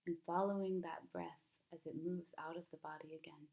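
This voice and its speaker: A woman leading a guided mindfulness meditation as a teacher, speaking gently and calmly, with unhurried phrasing and long pauses. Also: fairly low pitch, gravelly.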